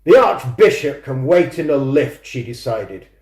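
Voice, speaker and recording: A man who is an author introducing and reading his own fiction in a measured, expressive storytelling manner. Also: mid-range voice. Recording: roomy, echoing sound.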